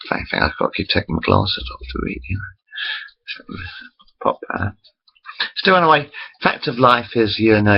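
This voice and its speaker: A man's voice: fairly low pitch, creaky, muffled recording. A man in his forties rambling chattily to his webcam, interrupting himself often. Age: 40s. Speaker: vlogger. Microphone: built-in computer camera mic.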